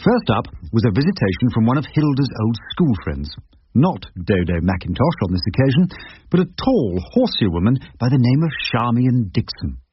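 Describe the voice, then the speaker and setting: An actor playing a wry, irreverent older English barrister, droll and wordy, in radio drama dialogue and narration. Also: male voice, mid-range voice, very animated, normal speed, lightly acted. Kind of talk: radio drama acting and first-person narration. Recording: muffled radio broadcast, faint noise.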